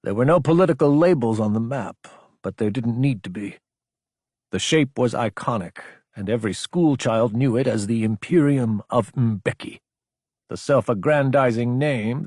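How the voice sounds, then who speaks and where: Husky male audiobook narrator, close and dry. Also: medium pitch, normal speed, a little mellow, no room sound. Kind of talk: dramatic scripted fiction narration.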